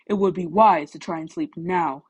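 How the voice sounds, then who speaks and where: A woman's voice: on the low side, normal speed, husky, no echo, muffled recording. A woman voicing a stern, rigid, rule-minded teenage boy with formal speech in a storybook reading.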